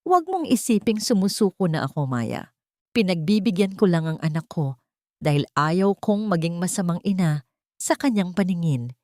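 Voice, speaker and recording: A woman playing a haughty older rich matriarch in a story, soft-spoken, condescending and falsely sweet. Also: on the low side, big pitch swings, moderate pace, no room sound, studio quality. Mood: coldly condescending.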